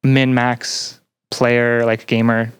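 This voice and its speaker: A young adult podcast guest in casual chat, explaining ideas earnestly and pausing to find words. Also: mid-range voice, little pitch movement, clean tone. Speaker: podcast guest, student representative.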